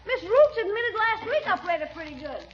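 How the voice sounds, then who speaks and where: A man's voice: very high voice, very animated, brisk, over music. A man voicing a cheeky young boy who teases his older sister, in 1940s radio acting style, on a dull old radio recording. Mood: teasing. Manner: teasing, wheedling. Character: cheeky young boy nephew, teasing little brother.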